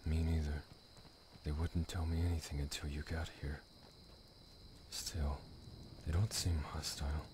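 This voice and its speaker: An actor playing a wary adventurer in a video game, muted, sombre and understated. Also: male voice, very deep voice, level tone, unhurried, long pauses, background noise. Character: guarded, wary male adventurer in trouble. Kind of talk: video game mod voice acting.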